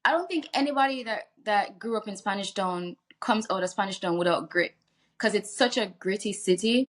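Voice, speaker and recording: A woman's voice: medium pitch, lively intonation, normal speed, fairly bright tone. A woman from Jamaica, a singer talking candidly in a casual interview, laughing easily and restarting her sentences. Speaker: guest singer.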